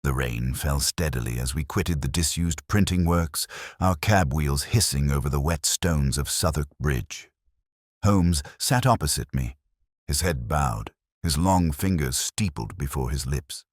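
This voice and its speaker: A man narrating a detective story in a measured, solemn, literary style. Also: rumbling low, animated, dry recording, clean studio sound.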